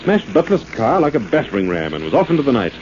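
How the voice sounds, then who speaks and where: A man playing a composed, thoughtful gentleman sleuth in a 1940s radio drama. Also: mid-range voice, huge pitch swings, fast talker. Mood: grave. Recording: muffled, hissy old radio recording.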